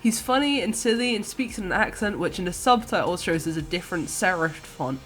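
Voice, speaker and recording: A woman's voice: fairly low pitch, expressive, a little fast, fairly bright tone, poor audio. A woman giving an enthusiastic, earnest personal video game review, partly scripted and partly conversational. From countryside. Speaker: podcast guest reviewer. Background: music.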